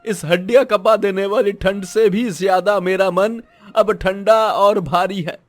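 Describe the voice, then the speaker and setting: A man's voice: sits high, fairly even intonation, a little slow, faint music behind. A man playing an emphatic, melodramatic vegetable seller in a moral-story cartoon. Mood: remorseful. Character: scheming, jealous male vegetable seller, later remorseful.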